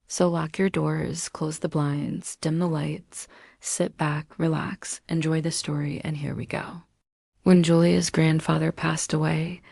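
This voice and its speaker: Low-pitched, gravelly woman narrating horror. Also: a little mellow, no echo, studio quality. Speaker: storyteller and channel host. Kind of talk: scripted horror story narration.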